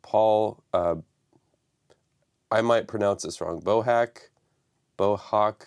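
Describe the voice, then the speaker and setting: A man hosting a live stream from his home studio, raspy from the flu and talking loosely with many fillers. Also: lowish voice, slow pace, a little mellow. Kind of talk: casual live stream talk.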